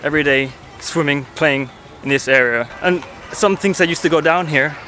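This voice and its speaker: A man's voice: fairly high pitch, moderate pace, pushed and bright, faint music behind. A man from Corfu, Greece, presenting a casual personal vlog in an informal storytelling way. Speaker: vlogger and presenter. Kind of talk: casual to-camera personal narration.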